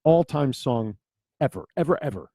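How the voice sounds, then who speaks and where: A man with a New Jersey accent co-hosting a talk show in a studio, joking and bantering quickly. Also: mid-range voice, expressive, warm tone, dry recording. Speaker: co-host. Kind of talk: casual radio chat. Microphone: studio mic.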